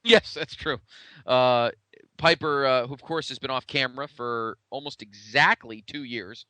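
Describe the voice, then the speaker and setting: A man with a bright, smooth voice at a middling pitch, chatting casually as a podcast co-host. His delivery is blunt and the sound is dry and close. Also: unhurried, no echo. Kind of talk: casual pro wrestling retrospective chat.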